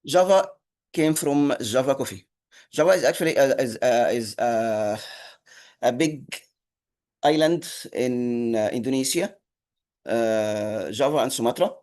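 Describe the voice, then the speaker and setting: A man whose first language is Arabic, teaching an online programming class, halting with many fillers and restarts. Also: medium pitch, a little mellow. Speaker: instructor. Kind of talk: online lecture.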